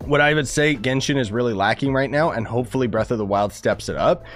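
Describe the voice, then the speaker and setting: A man's voice: medium pitch, fast talker, faint music behind. A man live streaming a game with casual, rambling, slangy commentary, his voice a bit worn from coughing. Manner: informal, rambling, gamer slang. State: losing voice, coughing.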